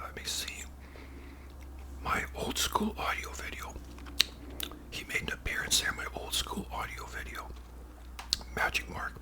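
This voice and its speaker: Male ASMR host, Canadian accent, whispered, breathy, folksy, rambling casual chat, pitch swinging widely. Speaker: ASMR video creator and host.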